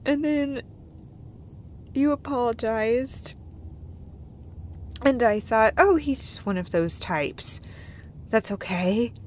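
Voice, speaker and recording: Woman acting as devoted girlfriend, slow pace, halting and breathy, tender, self-deprecating, intimate romantic roleplay, slight background noise. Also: on the high side, darkish tone. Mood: nostalgic, flustered. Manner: halting, breathy phrases broken by small laughs. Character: tender, emotional, self-deprecating girlfriend comforting her partner.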